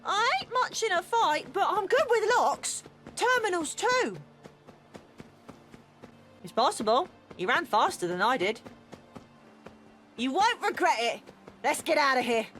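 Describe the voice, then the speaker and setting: A woman's voice: high-pitched, very animated, unhurried, long pauses, fairly bright tone, faint music behind, full character voice. A woman voicing a plucky, cheeky young street boy with an English accent in a video game. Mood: eager. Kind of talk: video game companion dialogue.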